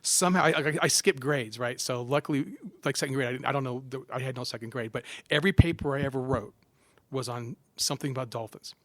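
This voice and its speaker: A middle-aged man trades stories in a relaxed interview, his voice sitting at an ordinary pitch. He jokes at his own expense and tosses in quick asides. The sound is close and dry, with no room around it. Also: dry recording. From Southern California. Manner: animated, self-deprecating jokes, quick asides. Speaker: guest, psychology professor. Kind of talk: casual interview storytelling.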